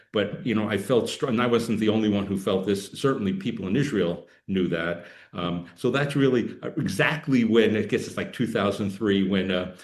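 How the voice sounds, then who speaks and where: Low-voiced man in an echoey room, halting. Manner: halting, rambling, anecdotal. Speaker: podcast guest, political analyst. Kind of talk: casual interview talk. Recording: echoey, roomy sound.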